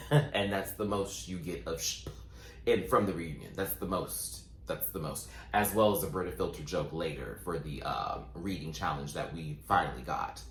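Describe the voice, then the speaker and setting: A man chatting sassily through a casual recap, his voice still low and groggy from just waking up. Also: fairly bright tone, echoey room, slight background noise. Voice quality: voice sitting lower than his normal. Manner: sassy, playful, quick asides. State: just woke up, morning voice. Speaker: vlogger and show recapper.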